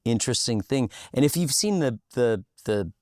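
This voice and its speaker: A man with an average-pitched voice talks as an interviewed musician. His chat is casual and thoughtful, with emphatic weight on key phrases. It is recorded close and dry. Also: animated, normal speed, darkish tone, no room sound.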